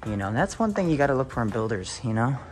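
A young man filming a casual home tour on a handheld phone, talking to viewers with excited exclamations and lots of fillers. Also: mid-range voice, racing, soft background music. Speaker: real estate video host. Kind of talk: casual walkthrough narration. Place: inside a large empty house.

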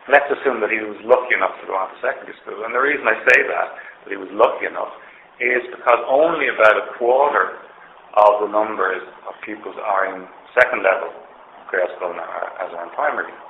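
A man's voice: lowish voice, a little fast. A man lecturing on sociology, explaining his argument step by step and often correcting himself mid-sentence. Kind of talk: university lecture. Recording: roomy, dull lecture hall recording with faint hiss.

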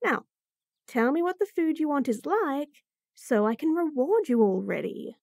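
A woman's voice: high voice, animated, unhurried, vocal fry, darkish tone, no echo, clean studio sound. A woman voicing a bratty, sassy bunny-girl chef in a fantasy roleplay audio, teasing and talking straight to the listener. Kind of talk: F4M roleplay audio, acted monologue.

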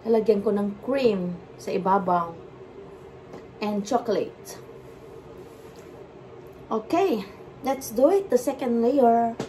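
A woman with a Filipino accent walks through her home baking in an unhurried, easygoing voice. She switches between English and Tagalog as she works. The kitchen recording carries clear background noise. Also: on the high side, rough recording. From Philippines. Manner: slow, mixes English and Tagalog, relaxed step-by-step commentary. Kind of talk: casual cooking narration.

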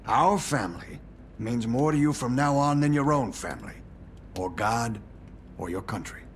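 An actor playing a middle-aged mafia don in a game cutscene, speaking formally, with ceremonial gravity. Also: male voice, medium pitch, animated, normal speed, slight background noise, close to an everyday voice. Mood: solemn, menacing.

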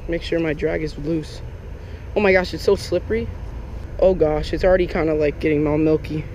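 A young man giving casual, excitable running commentary while fishing from a lake bank, recorded on a head-mounted action camera. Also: on the high side, brisk, a little hiss or hum. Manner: chatty, excitable, lots of "like" and "oh my gosh". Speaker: fishing vlogger. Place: outdoors on a lake bank in Florida.

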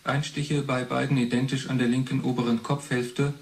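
An actor playing an impersonal official who reads forensic reports in a clipped, bureaucratic way for a 1980s German radio drama. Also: male voice, medium pitch, little pitch movement, moderate pace, close to an everyday voice. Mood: detached.

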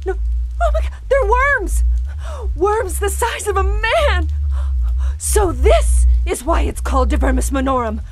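High-pitched, frightened young wife. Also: female voice, big pitch swings, unhurried, exaggerated voice. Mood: horrified. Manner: urgent, breathless exclamations. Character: terrified young honeymooning wife fleeing through a storm. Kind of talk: radio horror drama acting.

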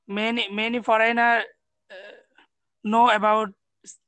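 A young man from Bangladesh, a student practicing English in halting, broken sentences full of pauses. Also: high voice, little pitch movement, pushed and bright, smooth, dry recording. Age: young adult. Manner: hesitant, broken English, many uh fillers.

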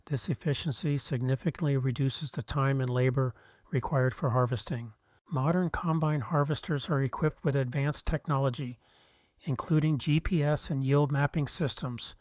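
A man reading a scripted countdown narration in a steady, measured explainer manner, recorded as a close-mic voice-over. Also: mid-range voice, no echo.

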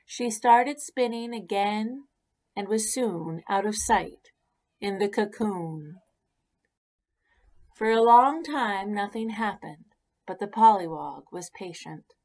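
A woman narrating a children's picture book aloud in an expressive, deliberate way. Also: medium pitch. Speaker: children's story reader. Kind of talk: read-aloud storybook narration.

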